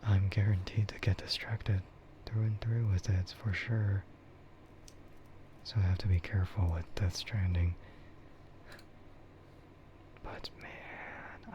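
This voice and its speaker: Slow, low whispering man, ASMR. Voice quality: soft breathy whisper. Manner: unhurried, meandering, trailing off. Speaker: streamer hosting an ASMR livestream. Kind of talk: ASMR, rambling casual chat about games and anime. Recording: muffled lo-fi sound with clear noise.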